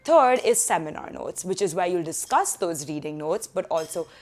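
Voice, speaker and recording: Young woman, slightly low voice, chatty advice vlog, animated, living room, camera mic. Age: young adult. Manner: chatty, animated hand gestures, quick asides. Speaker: student vlogger. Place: living room sofa, indoors.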